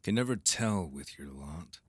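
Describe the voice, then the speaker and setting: A man plays a cold envoy from a vampire council in an audio roleplay. His voice is very deep and husky, recorded bone dry. He is formal, curt and openly disdainful. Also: animated, measured pace, no room sound, heavily acted. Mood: curt, suspicious. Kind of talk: audio roleplay drama acting.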